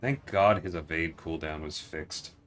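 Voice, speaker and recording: A man's voice: extremely low pitch, measured pace, fairly bright tone, soft background music. A man livestreaming a game, giving casual commentary and explaining things in detail, often checking in with "right?". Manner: explanatory, tags points with "right?".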